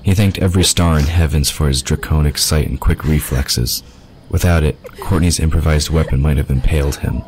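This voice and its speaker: A man with an extremely low voice narrates a fantasy audio drama from a script. Faint noise and ambient effects sit behind him. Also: a little fast, a little mellow. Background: ambient sound effects.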